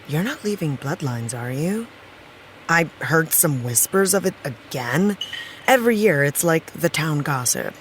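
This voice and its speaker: A woman's voice: deep voice, expressive, unhurried, slight background noise. A woman playing a wry, candid actress and supportive friend in an audio drama, with dry, teasing, naturalistic delivery. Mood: probing, dry.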